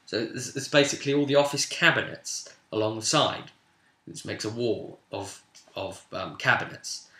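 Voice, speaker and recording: A man hosting a role-playing vidcast as game master, casually recapping a tabletop session in an animated storytelling way with sudden emphatic swells. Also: medium pitch. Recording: roomy, echoing room sound.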